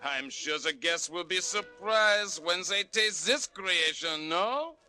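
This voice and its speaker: An actor playing a pompous French detective posing as a pastry chef in a children's cartoon radio drama, with a theatrical French accent. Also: male voice, high voice, expressive, slow pace, heavily acted. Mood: self-satisfied. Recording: loud music over vinyl record audio.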